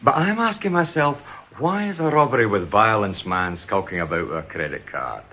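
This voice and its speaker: An older actor playing a sardonic retired police detective in a radio drama, with a jovially menacing, mocking manner. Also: male voice, fairly low pitch, animated, normal speed, bright, forceful tone, full character voice. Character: sardonic retired police detective, now company security officer.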